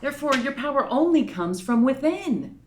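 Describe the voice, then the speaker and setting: A woman's voice: high-pitched, normal speed, a little hiss or hum. A woman voicing a stern head witch at a magic academy in a casual table read. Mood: explaining. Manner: lively, scolding and theatrical.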